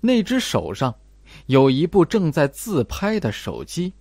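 A man's voice: fairly high pitch, huge pitch swings, measured pace, a little mellow, no echo. A man narrating a mystery audiobook in a dramatic, scripted style.

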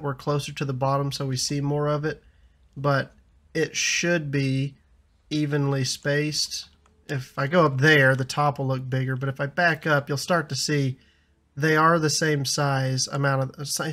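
A man in his thirties with a slightly raspy voice from a lingering cough, chatting casually over a building game and laughing often. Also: fairly high pitch, a bit bright. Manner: chatty, laughs often, frequent ums and restarts. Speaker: gaming YouTuber. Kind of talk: casual gameplay commentary.

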